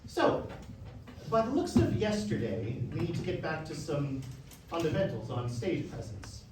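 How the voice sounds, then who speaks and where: A man's voice: fairly high pitch, sing-song, unhurried. A man playing a stern, demanding music professor, lecturing curtly in a staged reading of a musical. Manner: lecturing, curt, exacting. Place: echoey room, staged reading. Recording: very echoey, distant, noisy room.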